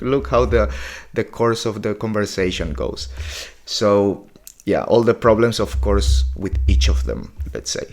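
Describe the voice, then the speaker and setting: A man's voice: on the low side, darkish tone. A man in his forties recapping a podcast episode in a casual, blunt, opinionated way, swearing often. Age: 40s.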